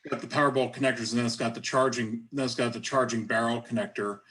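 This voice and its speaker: A man's voice: mid-range voice, steady tone, quick pace, a bit bright. A man chatting casually as a workshop moderator on an online video call. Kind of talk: casual chat.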